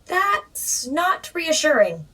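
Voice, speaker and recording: A woman's voice: sits high, big pitch swings, very slow, sharp tone, full character voice. An actress playing a flippant young female superhero who answers sarcastically. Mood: sarcastic.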